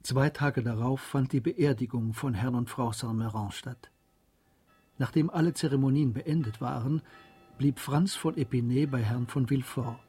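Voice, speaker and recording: A man's voice: medium pitch, moderate pace, mellow, breathy edge, faint music behind. A man reading scripted literary narration as the narrator of a radio drama.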